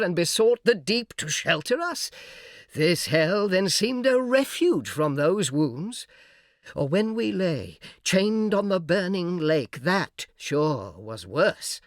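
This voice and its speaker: A man playing a smooth, persuasive fallen angel who argues in measured rhetorical questions, in a dramatic reading of epic verse. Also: high voice, big pitch swings, no echo, clean studio sound, heavily acted. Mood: urgent, rhetorical. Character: smooth, persuasive fallen angel, graceful false-hearted orator.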